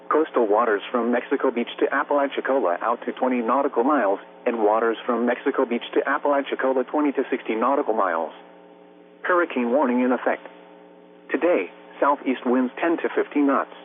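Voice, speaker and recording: Synthetic American man on tinny weather radio. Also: medium pitch. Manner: formal, impersonal, measured recitation. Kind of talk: scripted weather bulletin narration. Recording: narrow-band weather radio broadcast, faint hum.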